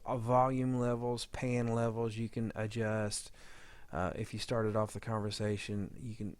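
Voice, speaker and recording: A man giving an informal audio editing walkthrough, halting and rambling as he thinks aloud. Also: lowish voice, no echo. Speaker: audio drama editor giving a demo. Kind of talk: informal software demo walkthrough.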